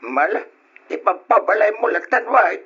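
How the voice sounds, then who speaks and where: An actor playing a kindly middle-aged uncle in a radio drama. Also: male voice, high-pitched, animated, moderate pace, breathy edge, heavily acted. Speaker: uncle character. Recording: dull lo-fi radio recording.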